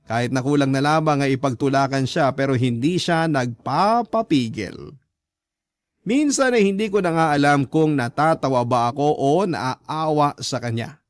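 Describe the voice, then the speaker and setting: Higher-pitched Filipino man narrating a dramatic letter. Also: normal speed, no room sound, studio quality. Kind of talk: dramatic first-person letter reading, storytelling.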